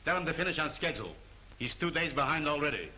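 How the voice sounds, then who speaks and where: A pompous word-mangling movie boss. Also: male voice, a little high, animated, fast talker, pushed and bright, slight background noise, rough recording. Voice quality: pompous, garbled grammar. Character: pompous male studio boss who mangles his English. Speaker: studio boss. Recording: old 1930s film soundtrack.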